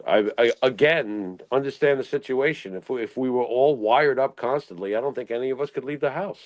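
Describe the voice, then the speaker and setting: A man's voice: mid-range voice, dry recording. A middle-aged man co-hosting a casual talk show, blunt and exasperated, swearing and firing off rhetorical questions. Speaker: wrestling talk show co-host. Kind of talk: casual opinionated panel chat.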